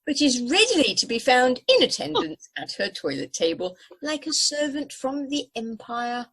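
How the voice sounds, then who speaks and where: A woman's voice: high-pitched, sing-song, normal speed, fairly bright tone, slightly rough, full character voice, low-quality recording. A woman voicing a haughty, tactless talking house cat in a radio play, formal and drily cutting in manner. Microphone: video call. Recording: home recording over video call.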